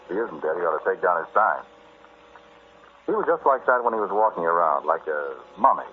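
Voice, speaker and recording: An actor playing a brash, sardonic American amateur archaeologist, talking straight at the listener in a 1940s radio drama monologue. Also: male voice, low-pitched, very animated, fairly quick, phone-quality sound. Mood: dryly macabre. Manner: conversational, sardonic, dismissive, addressing the listener directly. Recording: noisy, band-limited 1940s radio recording.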